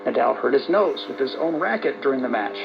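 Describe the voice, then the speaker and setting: A man's voice: mid-range voice, animated, a little fast, gravelly, music in the background. A man reading scripted news as an anchor, heard on a very noisy, dull shortwave radio broadcast.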